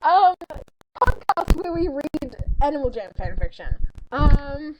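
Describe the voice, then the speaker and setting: A woman's voice: high voice, sing-song, slow, noisy background. A woman chatting casually and reading aloud informally with a friend, giggly and often breaking off to react. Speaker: podcast co-host reading fan fiction aloud.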